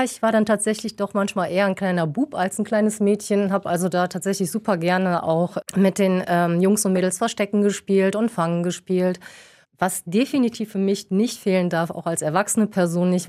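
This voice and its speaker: A woman's voice: fairly low pitch, darkish tone. A young woman from Düsseldorf, chatting casually as co-host, laughing often and using many fillers. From Düsseldorf, Germany. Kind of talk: casual chat, question round.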